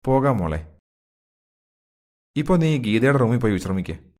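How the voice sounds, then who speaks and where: A man acts out a middle-aged family man in a moral tale. He speaks earnestly and insistently, like someone pleading for his family, in a medium-pitched male voice. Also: very animated, a little slow, a little mellow. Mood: caring. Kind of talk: acted dialogue in a moral story.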